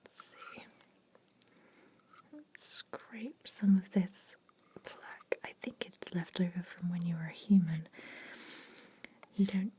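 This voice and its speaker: A woman playing a soothing, sly vampire dentist in an ASMR roleplay, speaking gently and deliberately in a breathy whisper. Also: lowish voice. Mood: soothing, focused. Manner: slow, gentle, deliberate, softly reassuring.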